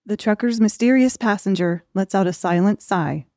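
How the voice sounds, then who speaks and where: A woman's voice: medium pitch, moderate pace, a little mellow, no echo, clean studio sound. A woman reading scripted story narration for an audiobook.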